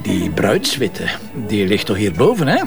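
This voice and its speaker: Cheeky, gravelly Flemish policeman. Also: lowish voice, big pitch swings, measured pace, heavily acted. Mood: teasing. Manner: wry, mock-serious. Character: cheeky, irreverent, flirtatious police commissioner. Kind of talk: radio drama dialogue. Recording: music behind the voice.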